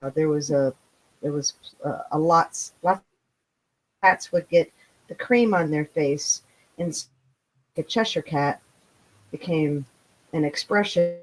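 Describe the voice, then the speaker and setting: A woman with a low voice talks casually as a guest author. She feels her way through sentences deliberately, with a halting delivery. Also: low-quality recording. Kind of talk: casual group chat.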